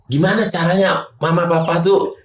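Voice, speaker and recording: A man's voice: a little high, fairly even intonation, normal speed, echoey room. A man hosting a casual podcast, asking questions in a teasing, jokey way. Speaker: podcast host.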